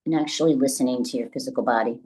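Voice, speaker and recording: A woman leading a guided meditation as the guide, speaking gently and deliberately in a soothing, instructional way with long pauses. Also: rumbling low, breathy edge, rough recording.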